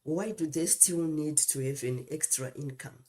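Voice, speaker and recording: A middle-aged woman with a Zimbabwean accent co-hosting a live talk show, speaking deliberately in short phrases with pauses. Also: sits low, mellow. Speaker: co-host and mentor.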